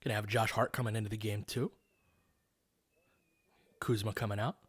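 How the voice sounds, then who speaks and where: A man's voice: lowish voice, steady tone, normal speed, breathy edge. A man calling live basketball play-by-play in a casual, conversational, analytical way. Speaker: play-by-play host.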